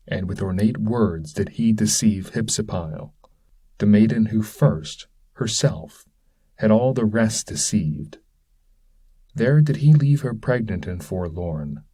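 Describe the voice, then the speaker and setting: A man's voice: fairly low pitch, mellow, husky, heavily acted. A man voicing a wise, authoritative guide through hell, grave and instructive, in an epic poem.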